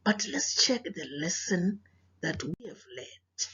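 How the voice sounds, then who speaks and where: A South African woman, a native Sepedi speaker with a husky, breathy voice, reading to children as a teacher, explaining and questioning as she goes. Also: fairly low pitch, expressive, slow pace, a bit bright, highs cut off. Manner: didactic, repeats phrases, questions listeners. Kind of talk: children's read-aloud with moral lesson.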